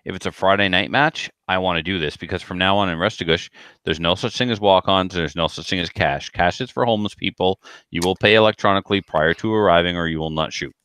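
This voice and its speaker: A man's voice: low voice, fast talker, fairly bright tone, clear, resonant tone, dry recording. A man co-hosting a podcast, telling anecdotes casually with a self-deprecating manner. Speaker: podcast co-host and competitive shooter.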